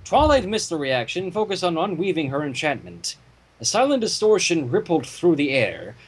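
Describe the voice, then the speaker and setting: A man reading a story aloud dramatically, with expressive reactions and asides. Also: fairly high pitch, moderate pace, slightly rough, poor audio. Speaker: fan fiction reader.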